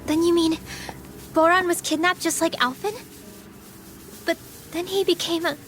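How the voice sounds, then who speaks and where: An actress playing an earnest, concerned young heroine in a fantasy video game. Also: female voice, very high-pitched, steady tone, moderate pace, fairly bright tone, slight background noise. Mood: shocked.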